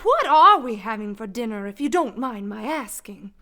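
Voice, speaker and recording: A woman's voice: a little high, sing-song, normal speed, bright, forceful tone, exaggerated voice. A woman voicing a prim, tactless young wife of a reporter in a comedic radio play, speaking in a breezy, prim manner. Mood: prim chatter.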